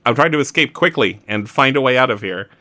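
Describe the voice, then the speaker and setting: A man's voice: medium pitch, animated, a little fast, bright, forceful tone. A man playing a tabletop roleplaying game, chatting casually and improvising with joking, mock complaints. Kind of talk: casual chat with in-character improvisation.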